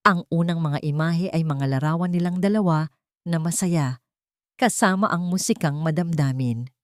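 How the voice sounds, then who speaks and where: A woman's voice: low-pitched, dry recording, clean studio sound. A woman storyteller reading dramatic scripted fiction narration.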